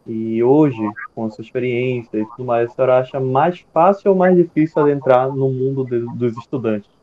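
Guy in his 30s interviewing on a video call, mid pitch, tinny phone sound, rephrases his questions. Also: moderate pace. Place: room at home indoors. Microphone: earphone headset mic on video call. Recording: tinny phone-like call audio, faint hiss.

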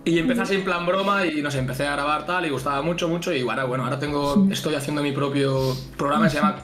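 Young man as cocky suitor, rambling and fast, slightly high voice, music under it. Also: roomy sound, close to an everyday voice. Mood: boastful. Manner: rambling, relaxed boasting. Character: cocky young man posing as an adult film actor. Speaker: suitor on a dating stream. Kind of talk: improvised comic role-play.

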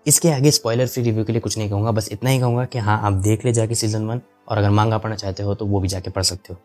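A man's voice: lowish voice, steady tone, quick pace, a little mellow, soft background music. A young man casually reviewing anime in a voice-over, chatty and full of fillers, switching into English now and then. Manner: chatty, mixes English into Hindi, frequent fillers. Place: voice-over over gameplay footage.

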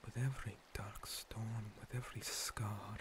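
A man playing an ancient, gentle monster priest, a scarred former paladin, in a breathy, halting, soothing ASMR roleplay whisper. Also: fairly low pitch, steady tone, background noise, close to an everyday voice. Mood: gentle, reassuring. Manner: slow, soothing, halting pauses. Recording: water streaming and pouring behind. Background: running water.

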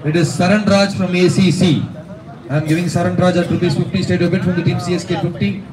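A young man with a Tamil accent rattles off bids in a quick, rhythmic auctioneer's chant, his slightly high voice ringing through speakers. He is heard from across an outdoor venue, the sound bouncing off walls. A crowd murmurs clearly behind him. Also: little pitch movement. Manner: rapid rhythmic bid calling, switching to asides. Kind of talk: live auction calling. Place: outdoor rooftop event at night. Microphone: handheld mic through speakers, filmed from far. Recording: echoey PA, distant, crowd noise. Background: crowd chatter.

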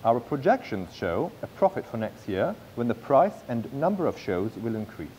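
Man reading a business pitch on stage. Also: very animated, moderate pace, dark tone, slight background noise, poor audio. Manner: reads from a script, some slips and restarts. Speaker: presenter pitching a project. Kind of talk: read-aloud business pitch. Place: large theatre stage.

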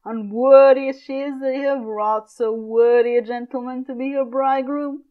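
A woman's voice: high voice, fairly even intonation, moderate pace, phone-quality sound, close to an everyday voice. A woman playing a tyrannical father in a stage tragedy, declaiming scornfully in archaic English. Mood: indignant. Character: furious tyrannical father, Shakespearean patriarch berating his daughter.

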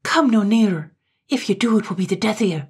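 Fierce young Highland wildcat of a girl, as a woman reader puts her on. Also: steady tone, moderate pace, husky. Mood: defiant. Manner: spitting, fiery defiance.

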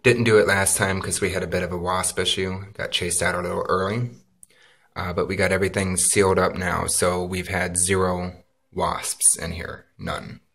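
Bright-toned man narrating a home video. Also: low-pitched, normal speed, gravelly. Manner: relaxed, lots of uh and um fillers. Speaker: vlogger filming a DIY build. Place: backyard, then inside a small wooden cabin.

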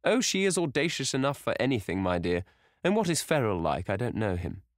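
A man voicing an excitable elderly society hostess who gushes and scolds in high exclamations. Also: fairly quick, no echo, studio quality, natural voice. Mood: incredulous. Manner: gushing, scolding, shrill exclamations.

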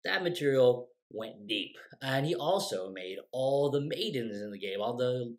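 Man in his forties, playwright, casual storytelling to camera from home, lively and expressive. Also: measured pace. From United States. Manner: animated, gestures a lot, quotes his younger self with lively shifts in pitch. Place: home room with bookshelves and windows. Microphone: close webcam framing.

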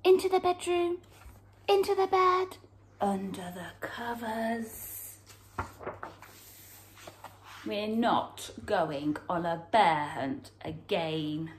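A woman in her thirties reading a picture book aloud to young children in an expressive, theatrical way, drawing out words and dropping into hushed tones. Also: medium pitch, slow, slight background noise. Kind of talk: animated children's storytelling. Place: small room at home, indoors.

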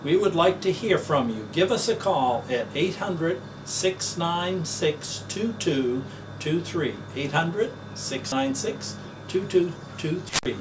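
An older man talks through his own product in a plain, folksy sales manner, pausing on "uh" now and then. His voice sits a bit high for a man. He is recorded from a few feet away, with a steady fan hum in the background. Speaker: business owner pitching his product. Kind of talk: promotional demonstration. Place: office, then a basement demo wall indoors. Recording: distant mic with audible fan hum.

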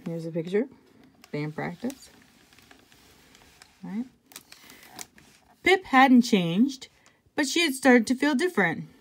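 A woman reading a picture book aloud to children, conversationally, pausing for casual asides and check-in questions. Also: fairly high pitch, very animated, husky. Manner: conversational, pointing things out and asking check-in questions. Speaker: intervention specialist reading to children.

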